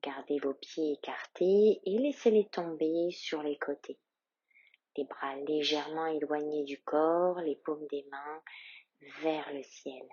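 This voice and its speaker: A woman yoga instructor leading a guided meditation in a calm, soothing, soft and breathy voice with long, measured pauses. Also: low voice, no room sound, lo-fi sound.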